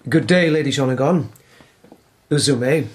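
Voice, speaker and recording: A man's voice: medium pitch, measured pace, breathy edge. A man playing a practical, sceptical police lieutenant in a radio drama, plain-spoken and questioning.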